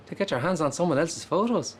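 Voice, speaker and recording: An actor playing a pedantic shop clerk who won't budge on the rules. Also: male voice, a little high, expressive, fast, a little hiss or hum, natural voice. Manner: fussy, pedantic. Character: fussy pedantic male shop clerk, stubborn.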